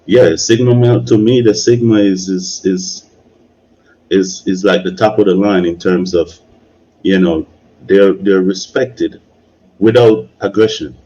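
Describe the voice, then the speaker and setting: Low, husky man in casual discussion. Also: fairly even intonation, unhurried, mellow, lo-fi sound. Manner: emphatic repetition, frequent "you know". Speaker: video creator hosting a discussion. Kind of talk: casual live discussion, opinion and advice.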